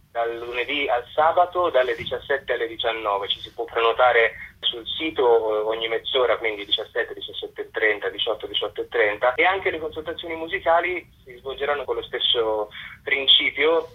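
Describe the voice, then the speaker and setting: A man's voice: mid-range voice, a little fast, slightly rough. A man interviewed as a guest, explaining practical details in a conversational way over the telephone. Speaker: interview guest, theatre representative. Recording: muffled telephone line.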